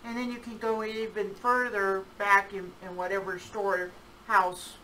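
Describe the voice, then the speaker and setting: A woman in the audience speaks up casually and chattily, a little self-effacing. Her voice is bright, but it sounds far from the mic, with faint noise behind it. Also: mid-range voice, flat delivery, measured pace. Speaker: class member. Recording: distant voice with faint room noise.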